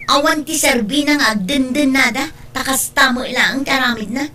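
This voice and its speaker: Woman acting a firm, fairly high-voiced aunt. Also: normal speed, pushed and bright. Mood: indignant. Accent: Ilocano. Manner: firm, admonishing. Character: stern, responsible aunt and daughter, advising family. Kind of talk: audio drama acting.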